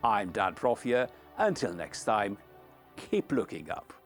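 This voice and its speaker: A man hosting a podcast, delivering scripted closing remarks in an earnest, formal and measured way. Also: lowish voice, sing-song, faint music behind. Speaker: podcast host.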